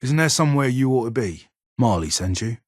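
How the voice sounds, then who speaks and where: An actor playing a gruff, guarded, middle-aged English gunsmith in a video game, speaking in a curt, grumbling way with a husky rasp. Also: male voice, medium pitch, lively intonation, brisk, no room sound, studio quality. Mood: brusque, busy. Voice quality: husky, raspy. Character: gruff, weary, guarded English male gunsmith, survivor of captivity. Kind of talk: video game character dialogue.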